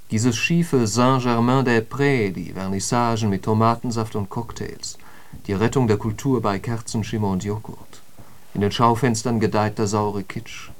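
An actor as an eloquent intellectual holds forth in a literary radio play. His middle-pitched male voice is emphatic and declamatory, with the bite of a polemicist. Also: darkish tone, natural voice. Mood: scornful. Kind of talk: literary radio drama monologue.

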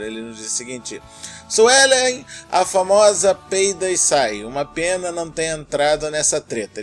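Brazilian guy, podcast host reading mail, slightly high voice, music playing behind him. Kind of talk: reading listener mail and thanking patrons on a podcast.

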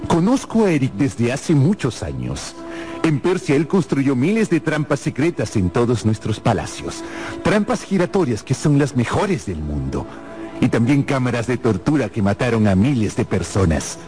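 An actor playing a grave, knowing Persian man who speaks solemnly and deliberately in a radio drama. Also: mid-range voice, very animated, darkish tone, natural voice. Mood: ominous, awed. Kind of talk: Spanish-language radio drama acting. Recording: music behind the voice.

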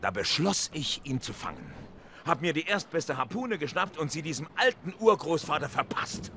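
An actor playing a hearty, garrulous one-armed whaling captain in an audio drama, speaking like a vivid yarn-spinner. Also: male voice, on the high side, sing-song, moderate pace, bright, forceful tone, slight background noise, full character voice. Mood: excited storytelling.

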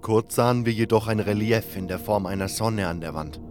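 A man's voice: fairly low pitch, brisk. A man reading scripted first-person narration for a fantasy audio drama. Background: background music.

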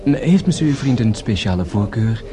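An actor playing a discreet, obliging nightclub waiter in a radio play, formal and smoothly servile. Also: male voice, on the low side, lively intonation, moderate pace, crowd noise, close to an everyday voice.

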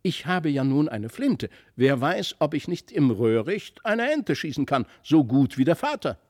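A man's voice: on the high side, big pitch swings, unhurried, a little mellow, no room sound, clean studio sound. A bold young boy from a storybook, performed by a male reader. He is scornful and headstrong, and declaims his words with emphasis in a plain-spoken way. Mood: boastful. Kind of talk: dramatic storybook reading.

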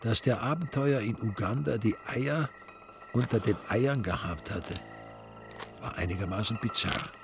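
A man's voice: lowish voice, unhurried, creaky. A man with an Austrian accent narrating a scripted radio crime drama in a dry, wry way. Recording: music behind the narration.

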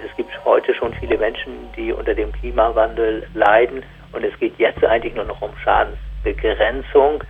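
A male expert gives serious, explanatory commentary in unscripted phrasing. His pitch stays fairly flat and in the middle. The recording is a little dull, with faint music underneath. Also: moderate pace, breathy edge. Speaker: scientific expert.

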